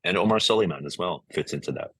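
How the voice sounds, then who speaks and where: Very deep, husky American man. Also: fast talker, fairly bright tone, no echo. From United States, San Francisco Bay Area. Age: middle-aged. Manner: hesitant, searching for words, earnest. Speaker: interview guest, musician and music compiler. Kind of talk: reflective podcast interview answers.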